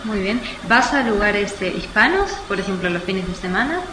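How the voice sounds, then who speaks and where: A woman conducting an interview at an average pitch, with brief, encouraging responses and plain questions. Her voice rings in the room, over clearly audible noise. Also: expressive, normal speed, reverberant.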